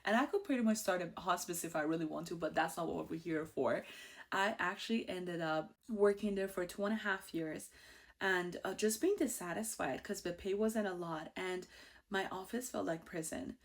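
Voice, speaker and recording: A woman's voice: on the low side, moderate pace. A bubbly, outgoing woman in her thirties from Iran with an Iranian accent, hosting a casual personal monologue and laughing easily. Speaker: host.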